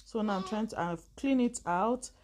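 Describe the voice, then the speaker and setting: A woman's voice: mid-range voice, moderate pace, a little mellow, a little hiss or hum. A woman casually narrating her kitchen cleaning, rambling and laughing often as she works. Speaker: vlogger.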